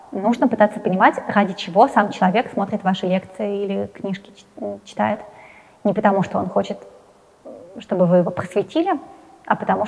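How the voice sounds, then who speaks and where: A young adult woman answering interview questions while seated in a studio, talking quickly with frequent hesitant fillers. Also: mid-range voice, a little mellow, in a large hall, low-quality recording. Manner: hesitant fillers, fast and talkative. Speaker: interviewee, science journalist. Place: indoor studio with plain wall, seated in armchair.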